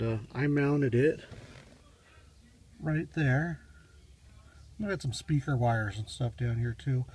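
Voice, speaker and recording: A man gives a casual, unscripted how-to walkthrough in a halting, rambling, matter-of-fact way, recorded on a handheld phone in a garage. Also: medium pitch, gravelly, darkish tone. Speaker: hobbyist car DIY demonstrator. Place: home garage, in and around a car. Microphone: handheld phone, moved around while filming. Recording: distant mic, noisy handheld phone recording.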